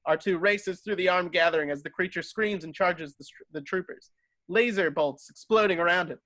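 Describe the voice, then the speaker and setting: A man's voice: a little high, a bit bright, no echo. A man narrating screenplay stage directions from a script at a table read.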